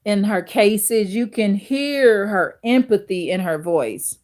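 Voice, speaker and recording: Slow, deep-voiced woman host chatting live. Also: lively intonation, darkish tone. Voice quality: deep authoritative voice. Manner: slow, thinking aloud with fillers and restarts; addresses viewers directly. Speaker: livestream host reading chat. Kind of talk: casual chat with live viewers.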